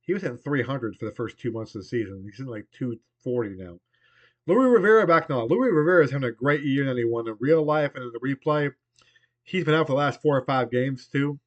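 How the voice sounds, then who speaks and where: This is a man in his forties, host of a baseball replay, whose voice is worn hoarse by a lingering cold. He rambles loosely and often trails off mid-thought. The slightly muffled home recording makes his voice sound a bit dull. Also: mid-range voice, animated, fast. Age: 40s. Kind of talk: casual play-by-play of a baseball game replay.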